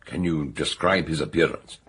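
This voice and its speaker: An actor playing a bluff, hearty older British detective doctor in a radio drama, ponderous and exclamatory, with a husky voice. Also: male voice, a bass voice, moderate pace, a bit bright, dry recording, exaggerated voice. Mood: eager, inquisitive.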